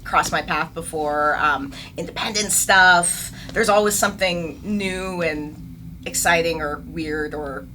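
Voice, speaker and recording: A woman's voice: low voice, takes it slowly, pushed and bright, slight background noise, rough recording. A woman chatting casually and enthusiastically as a guest in an interview, talking as a filmmaker.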